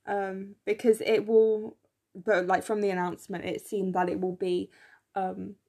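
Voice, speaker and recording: A young woman co-hosting a podcast in casual chat, enthusiastic and full of fillers, her voice slightly croaky, recorded on a phone in her room. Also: mid-range voice, little pitch movement, measured pace, a little mellow. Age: young adult. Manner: chatty, enthusiastic, many fillers. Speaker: podcast co-host.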